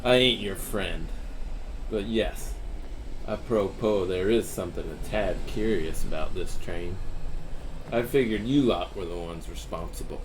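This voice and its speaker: A man's voice: low-pitched, a little slow, noisy background. A man playing a cocky, suspicious armed thief in an Old West audio drama, folksy, sardonic and wryly wordy.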